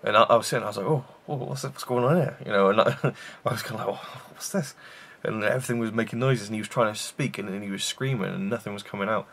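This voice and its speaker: A man's voice: on the low side, fast, gravelly, hardly any pauses, fairly bright tone, husky. A man hosting a casual film review show, rambling with enthusiasm and many fillers and restarts.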